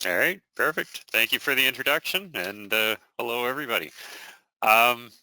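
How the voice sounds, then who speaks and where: A man starting a software demonstration, talking slowly and hesitantly with many fillers. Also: medium pitch, animated, a little hiss or hum, poor audio. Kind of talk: unscripted technical demo.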